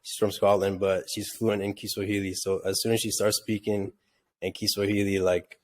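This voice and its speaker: Flat-toned laid-back man. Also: on the low side, normal speed, slight background noise. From Tanzania. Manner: relaxed and rambling, heavy use of "like". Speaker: podcast co-host. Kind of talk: casual podcast chat.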